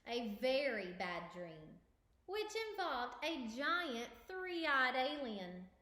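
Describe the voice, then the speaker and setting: Lady doing a moody kid cow for a storybook, dramatic, high and swoopy. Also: sing-song, slow, sharp tone, exaggerated voice. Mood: put out. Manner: dramatic, emphatic, exasperated. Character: moody young boy cow, grumpy, indignant narrator. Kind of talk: children's storybook read-aloud. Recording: roomy, echoing indoor recording.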